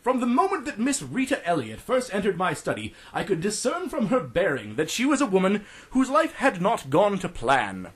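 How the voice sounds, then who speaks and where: A man voicing a stuffy, pompous Edwardian gentleman adventurer narrating a pulp audiobook, formal and theatrical in manner. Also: high-pitched, wildly swooping pitch, normal speed, hardly any pauses, husky, pitched above the performer's own voice, heavily acted. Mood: wary, pompous.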